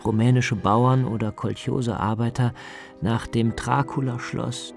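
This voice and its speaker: A man's voice: mid-range voice, creaky, darkish tone. A man narrating a radio documentary from a script, as its author telling the story.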